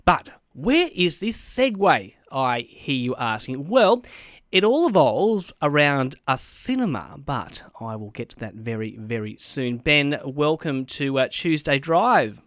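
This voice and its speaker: A man's voice: fairly high pitch, no room sound, clean studio sound. A man with an Australian accent hosting an afternoon radio show, interviewing a guest in a playful, upbeat way. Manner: lively, playful host delivery. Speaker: radio host.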